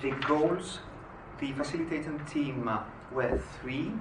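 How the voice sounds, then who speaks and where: Man giving a halting talk in an echoey hall. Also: on the high side. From Greece. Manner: frequent "uh" hesitations. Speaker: conference speaker. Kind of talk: halting conference presentation. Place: conference hall. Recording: echoey hall, distant mic, noisy.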